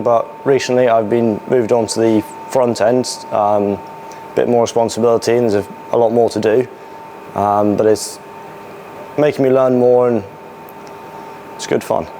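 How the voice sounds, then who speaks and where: A young man talking casually about his place on a student rally team. His voice sits at an ordinary middle pitch and his answers come out relaxed, with the odd um. There is a faint hiss behind him. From Scottish Borders. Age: young adult. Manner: easygoing, with some ums.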